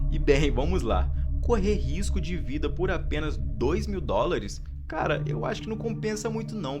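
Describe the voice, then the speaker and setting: Brazilian man narrating over music. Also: a little high. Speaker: video narrator. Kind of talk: scripted horror explainer narration with casual asides.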